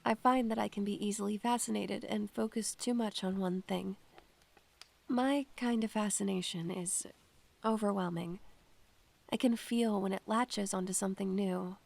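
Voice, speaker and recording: Wistful young spirit-medium student for audio drama, played by a woman: very gravelly fry, brooding, measured, introspective. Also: medium pitch, steady tone, darkish tone. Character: melancholy, introspective young female student who hears spirits. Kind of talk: audio drama narration and acting.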